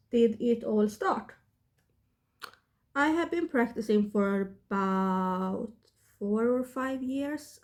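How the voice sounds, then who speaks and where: Swedish-accented woman, 30s, vlogger; slow, halting delivery, a bit under the weather. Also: fairly high pitch, darkish tone. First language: not English. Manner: hesitant, halting, many fillers. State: sick. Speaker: witchcraft vlogger. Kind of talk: casual talk to camera.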